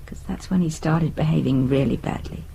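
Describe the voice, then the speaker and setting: A woman's voice: sits low, a little fast, vocal fry, mellow, slight background noise, low-quality recording. An actress playing a resigned, anxious middle-aged British wife and mother in a naturalistic radio drama, hesitant and wistful. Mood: reflective.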